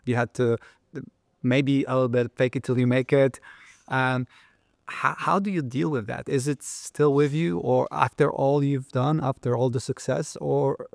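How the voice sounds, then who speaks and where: A man hosting a conversational interview, with a halting way of forming his questions and repeating words. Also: mid-range voice, fairly even intonation, gravelly, darkish tone, no room sound, studio quality. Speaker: interview host.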